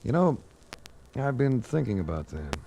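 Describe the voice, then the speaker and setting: An actor playing a cool, unsentimental private investigator in a 1940s radio drama, with a clipped, sardonic, accusing way of talking. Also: male voice, medium pitch, very animated, normal speed, gravelly, mellow. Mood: cool, probing. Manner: clipped, sardonic, hard-boiled. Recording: noisy vintage 1940s radio recording.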